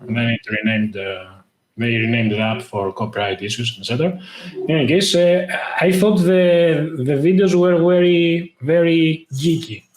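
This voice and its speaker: Man, halting, in a roomy echo. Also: a little high, a little hiss or hum. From Greece. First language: Greek. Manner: halting, lots of "uh" fillers. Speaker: guest, tech YouTuber. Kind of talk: casual interview talk.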